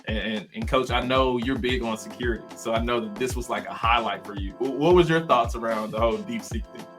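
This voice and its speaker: A man's voice: mid-range voice, a little fast, fairly bright tone, faint music behind. A man hosting a casual tech chat over a video call, upbeat and encouraging. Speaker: host. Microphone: headset, video call.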